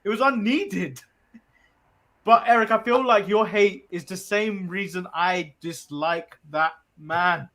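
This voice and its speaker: An English man with a high-pitched voice chats about wrestling as a podcast co-host. He rambles casually through his opinions with a grumbling, conversational delivery. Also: slow, sharp tone. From England. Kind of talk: casual sports chat.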